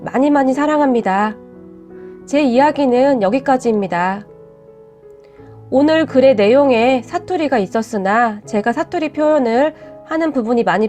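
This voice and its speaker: A woman host gently reading a viewer's personal story aloud in an earnest storytelling manner. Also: fairly high pitch. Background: faint background music.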